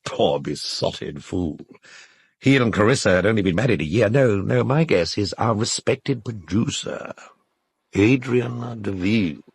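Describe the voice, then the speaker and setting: An actor playing an urbane, cynical literary critic in an audio drama, speaking in a dry, articulate, knowing way. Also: male voice, lowish voice, expressive, unhurried, husky, dry recording, full character voice. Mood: wry, bitter. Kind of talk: naturalistic audio drama dialogue.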